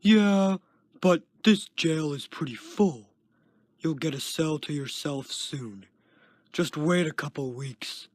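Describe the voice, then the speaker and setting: A man's voice: slow, no room sound, clean studio sound. A man reading a story as a smug, uncaring prison guard with a sarcastic, dismissive manner. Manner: sarcastic, dismissive, low growled delivery.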